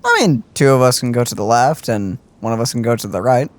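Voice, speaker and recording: A man casually commenting on a game with a co-host, dry and deadpan, teasing the characters. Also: mid-range voice, quick pace, slight background noise. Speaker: gamer, channel co-host.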